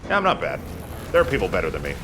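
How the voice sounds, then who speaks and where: A man's voice: deep voice, huge pitch swings, racing. A man giving casual, conversational gaming commentary as a let's play host, swearing now and then. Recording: faint background noise.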